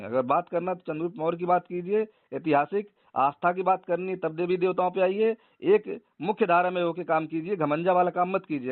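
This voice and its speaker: A man's voice: high-pitched, dry recording. A man argues insistently in a heated phone debate, repeating his points. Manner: argumentative, insistent, repeats himself. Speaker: organisation leader debating a caller. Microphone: phone line. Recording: tinny narrowband phone call recording.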